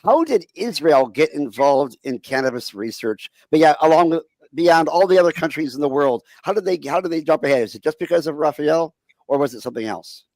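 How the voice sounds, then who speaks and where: A man's voice: on the high side, lively intonation, brisk, a little mellow, no room sound. A man hosting an online talk show over a video call, introducing guests and asking questions in a chatty, upbeat way. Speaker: show host.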